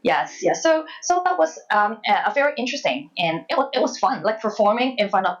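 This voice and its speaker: A woman in her thirties from Hong Kong, with a Hong Kong accent, answering interview questions reflectively in a halting, hesitant way with repeated words. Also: mid-range voice, very animated, lo-fi sound. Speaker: interview guest, former journalist.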